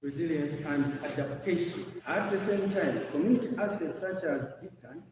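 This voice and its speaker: A man's voice: a little high, moderate pace, a little mellow. A man reading a formal speech, captured from far off in a very echoey, noisy hall.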